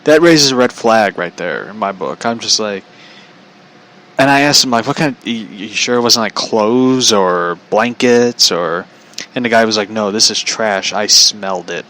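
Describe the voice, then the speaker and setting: An American man with a fairly low but bright, forward voice tells a story off the cuff. He rambles casually and talks bluntly, in loose slang peppered with swearing. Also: normal speed. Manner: chuckles often while talking. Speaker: show host and storyteller.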